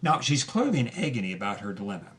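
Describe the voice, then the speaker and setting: A male host tells a historical story in a conversational style. His voice is medium in pitch, and he explains things with deliberate, emphatic phrasing. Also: sing-song, a bit bright. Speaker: host and narrator.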